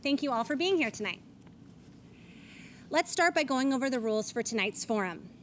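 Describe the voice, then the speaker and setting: A woman's voice: sits high, animated, normal speed, a little hiss or hum. A woman, moderating a candidates forum on stage and reading rules and statements aloud. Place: event stage.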